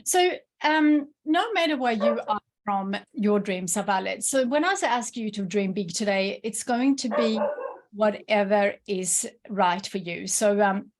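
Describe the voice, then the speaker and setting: Woman, native Swedish speaker, Swedish accent, mid-range pitch, enthusiastic, chatty, informal workshop presenter. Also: a little slow, slight background noise. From Sweden. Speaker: workshop presenter, entrepreneur and coach. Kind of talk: informal online workshop talk.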